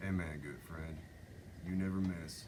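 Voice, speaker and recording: A man's voice: low-pitched, steady tone, a little slow, background noise. Man reading a laconic tired drifter. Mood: steady. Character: tired, steady male drifter gunman.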